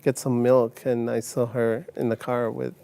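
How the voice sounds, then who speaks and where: Older guy in his 60s from uganda via england, telling his life story, mellow voice, kinda halting. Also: medium pitch, flat delivery, vocal fry, clean tone. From Uganda, later England. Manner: halting, repeats words as he recounts. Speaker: testimony guest. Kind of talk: personal testimony in an interview.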